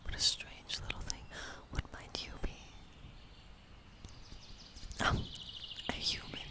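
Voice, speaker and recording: Woman, breathy whisper, ASMR role play, friendly witch, gentle, playful, coaxing. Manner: gentle, coaxing, slow and soothing. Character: friendly, playful, lonely witch living in a woodland cottage. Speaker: ASMR creator.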